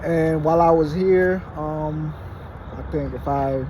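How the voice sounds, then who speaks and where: A man's voice: a little high, fairly even intonation, takes it slowly. A man in his late twenties, born in Haiti, talking casually to his phone in a vlog with many fillers and restarts. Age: late 20s. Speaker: vlogger. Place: parking lot at night, outdoors. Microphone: phone propped against a wall. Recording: noisy outdoor phone recording. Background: traffic and car noise.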